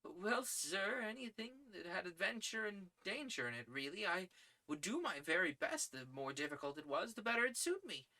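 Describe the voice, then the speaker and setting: A man's voice: high-pitched, big pitch swings, moderate pace, bright, forceful tone, pitched above the performer's own voice, exaggerated voice, rough recording. Man doing an eager young reporter. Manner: eager, pleading. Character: earnest young male reporter.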